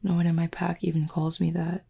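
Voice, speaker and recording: A woman acts out a flirtatious werewolf pack beta in an intimate audio drama. Her voice sits low and dark, with plenty of gravelly fry. She talks in a confident, teasing way, as if to one person. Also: completely flat delivery, a little fast, exaggerated voice. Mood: flirty, sincere. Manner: teasing, flirtatious, smooth-talking.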